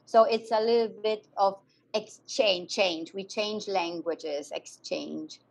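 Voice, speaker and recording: A woman's voice: medium pitch, steady tone, creaky. A woman with an Italian accent, whose first languages are Italian and German, speaking as an interview guest in hesitant English, with many fillers and Italian interjections. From Germany, to parents from southern Italy. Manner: halting non-native English, frequent fillers and Italian interjections. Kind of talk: conversational interview.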